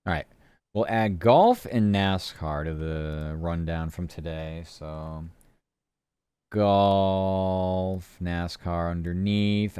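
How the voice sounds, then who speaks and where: A man with a low, smooth voice chats casually on a live stream. He rambles between topics, answers his chat and swears now and then. The sound is dry and close. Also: a little mellow, no echo.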